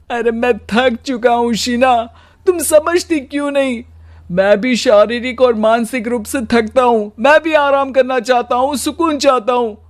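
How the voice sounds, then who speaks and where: A woman's voice: sits high, pitched above the performer's own voice. A woman voicing a weary, put-upon husband in a cartoon story, plaintive and sighing.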